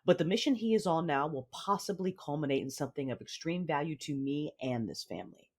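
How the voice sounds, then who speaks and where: An actress playing a cold, commanding Sith mother who narrates her own story in a measured, menacing, controlled manner. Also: low-pitched, lively intonation, a little mellow, breathy edge, full character voice. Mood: calculating, controlled. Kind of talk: first-person audio drama narration with dialogue. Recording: music under the voice.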